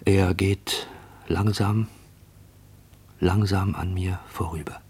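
An actor playing a haunted, watchful narrator in a literary radio play monologue, measured and brooding. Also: male voice, low voice, fairly even intonation, a little mellow, slightly rough, heavily acted.

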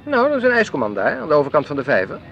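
A man's voice: a little high, wildly swooping pitch, rapid-fire, creaky, dull audio, a little hiss or hum, heavily acted. An actor playing an impatient, grumbling man who likes to tease, in a naturalistic radio play. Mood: brisk, teasing. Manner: brusque, exclamatory, teasing. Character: impatient, grumbling, teasing man on a park outing.

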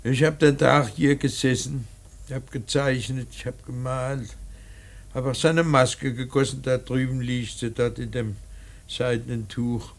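A man playing a grieving, bitter old painter in a radio drama, speaking in a halting, emphatic, theatrical monologue with a rough, worn voice. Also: medium pitch, little pitch movement, natural voice. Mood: awed sorrow. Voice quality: husky, gravelly. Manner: halting, emphatic, swelling from murmurs to outcries. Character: grieving old painter mourning his dead son, bitter, rambling.

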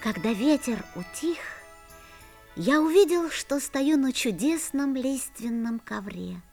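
A woman voicing a young boy who narrates a musical audio play with gentle storytelling. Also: high voice, animated, slow, soft background music, full character voice.

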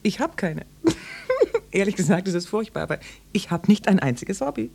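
Apologetic, yearning housewife for radio drama: very breathy, hesitant, animated pitch movement. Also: female voice, moderate pace, heavily acted. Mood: embarrassed. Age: middle-aged. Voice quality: breathy, often half-whispered. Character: timid, yearning housewife in her mid-thirties. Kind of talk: German radio play acting.

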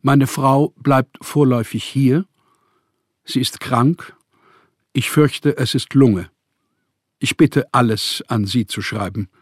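Husky, proud literary man. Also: medium pitch, takes it slowly, long pauses, no echo, clean studio sound. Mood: earnest, grateful. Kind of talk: radio play acting, reading letters aloud.

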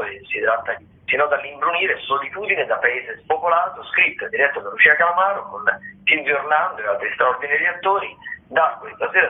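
Over a narrow, phone-like connection, a man with a medium-pitched voice hosts a radio theatre segment. He runs through listings and short reviews, halting at times, stretching words and correcting himself as he goes. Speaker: radio show host. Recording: thin, phone-like narrowband sound.

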